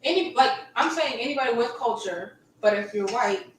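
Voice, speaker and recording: A woman giving hesitant, halting answers in a casual chat. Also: on the high side, lively intonation, distant mic. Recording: echoey room, away from mic.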